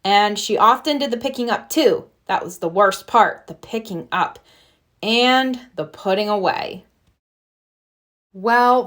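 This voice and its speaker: A woman narrating a children's storybook aloud in an expressive read-aloud style. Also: medium pitch. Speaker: storybook reader.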